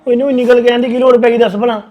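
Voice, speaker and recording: A man's voice: very high voice, level tone, racing, a little mellow, muffled recording, faint music behind. A man playing a protective, reassuring husband. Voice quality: reassuring, firm. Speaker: husband calming his wife. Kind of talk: family drama acting.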